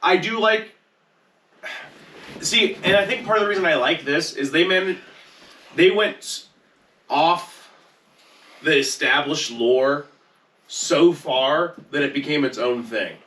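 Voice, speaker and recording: Male podcast co-host, bright forceful tone, halting casual delivery, echoey room. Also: on the high side, expressive, a little hiss or hum. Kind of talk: casual group chat ranking movies. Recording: roomy echo, distant from mic.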